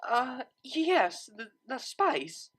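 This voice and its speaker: A woman's voice: sits high, sing-song, drawn-out words, fairly bright tone, breathy edge, voice pushed up, exaggerated voice. A woman voicing a refined, shy young female pony cellist with a proper, formal and hesitant manner. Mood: flustered. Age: young adult.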